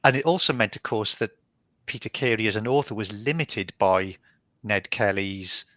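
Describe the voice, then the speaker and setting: A man's voice: lowish voice, normal speed, pushed and bright, dry recording, highs cut off. A man with a Welsh accent from Barry in South Wales, an author explaining his choices in a reflective, restarting way over online call audio. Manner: reflective, with frequent restarts and ums. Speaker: author being interviewed. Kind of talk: thoughtful conversational explanation.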